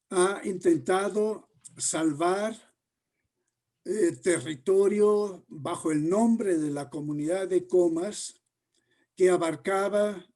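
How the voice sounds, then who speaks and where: Slow, halting man with a high-pitched voice. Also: warm tone. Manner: halting, deliberate, pausing mid-phrase. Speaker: interviewed sociologist. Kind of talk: explanatory interview answer.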